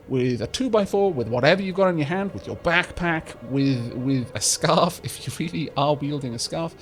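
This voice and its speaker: A man hosting a let's play, chatting casually and explaining game mechanics with a slight rumble in his voice. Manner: chatty, explanatory, frequent restarts and fillers. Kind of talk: casual gameplay commentary.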